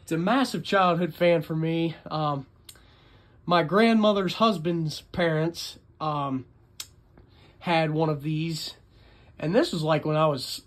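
A man talking casually and unscripted as a hobbyist vlogger, in a chatty, rambling, enthusiastic way. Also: on the high side. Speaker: vlogger, ceiling fan collector. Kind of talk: casual unscripted show-and-tell video narration.

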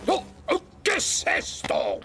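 An actor with a Latin American Spanish accent playing a stern, flustered older fire station officer in a children's cartoon, with a blustering, pompous manner. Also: male voice, sits high, wildly swooping pitch, slow pace, heavily acted. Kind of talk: animated children's series dub. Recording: loud music underneath.

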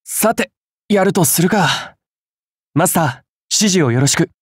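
Breathy young hero swordsman. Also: sits high, animated, a little slow, no echo, clean studio sound, exaggerated voice. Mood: hesitant, calm. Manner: soft-spoken, polite, turning to fervent battle cries. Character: young male Greek hero swordsman, gentle, hesitant, dutiful. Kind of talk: anime mobile game battle voice lines.

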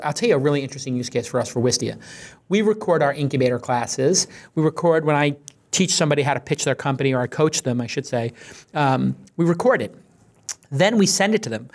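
A man in his forties hosting a podcast roundtable and reading ads, animated and punchy, talking into a studio boom mic. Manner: animated, gestures broadly, punchy asides. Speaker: podcast host. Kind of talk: casual roundtable chat and ad read. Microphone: studio mic on boom arm.

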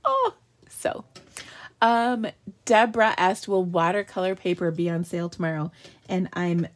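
A woman's voice: lowish voice, expressive, unhurried. A woman hosting a live card-making tutorial at her craft table, chatting in an upbeat way and answering viewer questions as she works.

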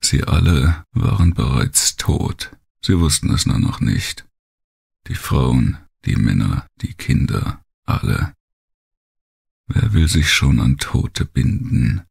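Very deep, gravelly male audiobook narrator. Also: lively intonation, slow pace, darkish tone. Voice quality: gravelly, husky. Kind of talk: dramatic first-person thriller audiobook narration.

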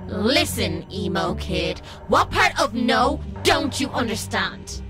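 A woman's voice: a little high, lively intonation, slow. A woman playing a mean, sneering evil sister in a game roleplay. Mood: mocking. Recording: music behind the voice.

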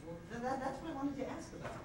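A man's voice: very high voice, sing-song, very fast. A young man playing a bewildered, polite, reluctant bridegroom in an amateur stage comedy, hesitant and stammering. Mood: baffled. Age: young adult. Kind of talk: amateur one-act stage comedy acting. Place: theater stage, recorded from the audience. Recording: echoey hall from far off, hissy tape.

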